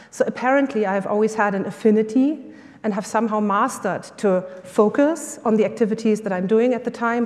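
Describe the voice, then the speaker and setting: A woman's voice: mid-range voice, darkish tone. German woman speaking in a reverberant hall. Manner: enthusiastic, many fillers and restarts. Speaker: summit speaker, lecturer and coach. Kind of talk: conference talk.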